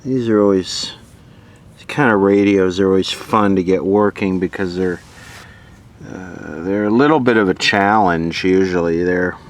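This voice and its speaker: A man's voice: sits low, a little slow. A man giving casual off-the-cuff commentary as he looks over old equipment, musing aloud and asking himself questions with a sardonic streak. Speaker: host of a vintage radio repair video.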